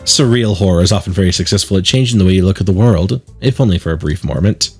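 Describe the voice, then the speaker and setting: A man voicing a gentle, reserved schoolgirl in a visual novel, thoughtful and articulate. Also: low-pitched, quick pace, husky, faint music behind, voice pushed down. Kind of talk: reading visual novel dialogue.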